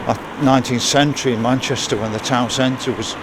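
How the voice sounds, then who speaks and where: Guy vlogging on a city walk, chatting and musing to himself, average pitch, really loud traffic around him. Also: fairly even intonation, a little fast. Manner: musing, wondering aloud. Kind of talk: casual rambling walking-tour commentary. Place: outdoors on city streets. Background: traffic and urban ambience.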